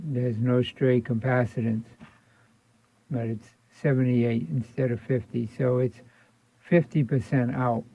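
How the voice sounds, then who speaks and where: A man's voice: medium pitch, gravelly, no echo. Man in thin, phone-like audio, tutoring informally. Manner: informal, thinking aloud, repeating points. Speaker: electronics repair instructor. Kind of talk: hands-on tutorial narration, casual and explanatory. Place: indoor electronics workbench. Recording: thin phone-like band-limited sound.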